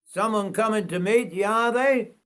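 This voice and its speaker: A man acting hearty, high-pitched Aussie train conductor. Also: moderate pace, a bit bright, clear, resonant tone. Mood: hearty, friendly. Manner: matey, colloquial, hearty. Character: friendly blunt Australian train conductor, adult man.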